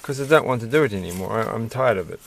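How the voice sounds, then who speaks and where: A man rambling softly to himself while building a toy kit at his desk at night, self-deprecating and a bit tired. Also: lowish voice, lively intonation, fast talker, creaky, slight background noise. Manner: soft-spoken, muttering to himself, self-deprecating. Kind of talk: casual rambling build commentary.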